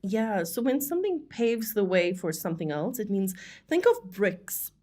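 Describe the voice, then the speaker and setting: A woman co-hosting an English-learning podcast. She explains phrases with everyday examples and thinks out loud as she goes. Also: medium pitch, very animated, moderate pace. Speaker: English teacher and podcast co-host. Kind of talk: casual teaching chat.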